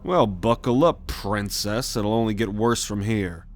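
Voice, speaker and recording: A man acting a roguish, protective alien scout captain in a sci-fi ASMR roleplay, measured and authoritative as he gives orders. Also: fairly low pitch, close to an everyday voice. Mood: gruff, resolute.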